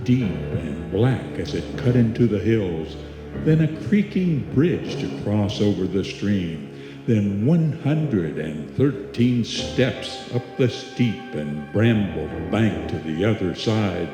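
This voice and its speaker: A man performing as the narrator of a live old-time radio suspense play, deliberate and atmospheric. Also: fairly low pitch, very animated. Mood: ominous. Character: storyteller narrator of a suspense tale, measured, ominous. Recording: echoey hall with music behind.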